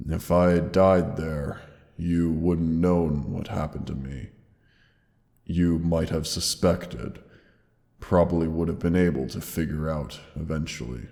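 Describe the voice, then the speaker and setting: A man voicing a wounded, dying ancient dragon who speaks to his beloved with slow, weary tenderness. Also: steady tone, mellow, in a large hall, heavily acted. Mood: tender, pained. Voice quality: deep gravelly voice. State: wounded, pained. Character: dying ancient male dragon, gentle and loving. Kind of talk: audio roleplay drama.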